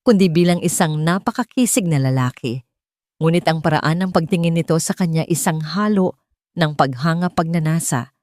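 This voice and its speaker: Woman, Filipino accent, lower-pitched voice, dry close sound, scripted romance audiobook narration, measured and dramatic. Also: darkish tone, no echo.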